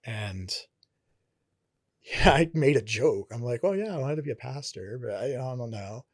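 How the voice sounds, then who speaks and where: Earnest pastor with a Connecticut accent. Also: male voice, mid-range voice, lively intonation, normal speed, no echo, clean studio sound. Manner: earnest, reflective storytelling. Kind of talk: casual interview talk.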